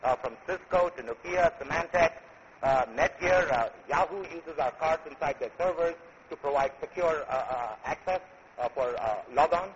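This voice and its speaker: A man presenting his company at a conference, speaking in a halting way with many filler sounds. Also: fairly high pitch. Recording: muffled recording with faint noise.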